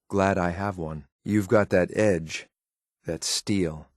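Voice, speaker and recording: Cool, lethal android soldier for a video game: low voice, clipped military deadpan, very dry close recording. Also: male voice, drawn-out words, no echo. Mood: respectful, admiring. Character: stern, loyal synthetic courser soldier, cool and lethal. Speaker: combat companion in a video game. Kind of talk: scripted video game voice lines.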